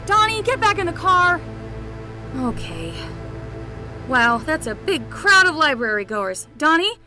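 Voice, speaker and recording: A woman playing a young, excitable summer nanny with a casual, rambling way of talking in a fiction podcast. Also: high-pitched, a little slow, soft background music, exaggerated voice. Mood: alarmed. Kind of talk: scripted fiction podcast acting.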